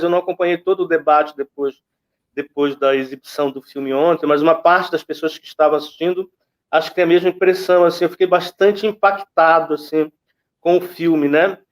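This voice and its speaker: A Brazilian man comments as a guest critic in a fairly high voice for a man. He is reflective and hesitant, feeling out his words in conversation. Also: fairly even intonation, brisk.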